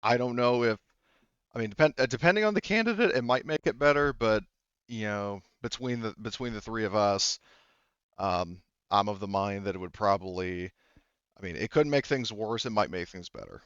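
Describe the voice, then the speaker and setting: Low, clean-voiced male game master, rambling. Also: animated, moderate pace, fairly bright tone, smooth, no echo, dull audio. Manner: rambling, relaying characters' words in reported speech. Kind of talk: improvised tabletop roleplay narration.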